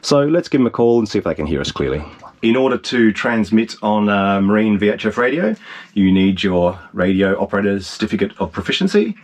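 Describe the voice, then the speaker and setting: A man's voice: on the low side, sing-song, a little fast. A man in his forties hosting a casual instructional vlog. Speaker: channel host.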